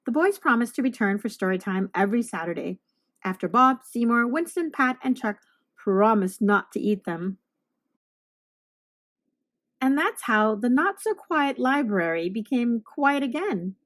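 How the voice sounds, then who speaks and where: A woman with a fairly high voice reads a picture book aloud. Her delivery is expressive storytime narration, aimed at young children. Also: unhurried. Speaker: storybook reader, host.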